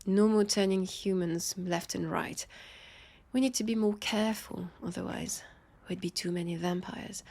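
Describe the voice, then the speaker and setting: A woman playing a teasing, haughty vampire detective with a French accent, in an intimate roleplay audio drama, her dry playful lines spaced with lingering pauses. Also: fairly low pitch, a little mellow, slight background noise. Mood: explanatory, measured. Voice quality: husky, breathy with creaky edges. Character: teasing, haughty female vampire detective, sardonic new partner.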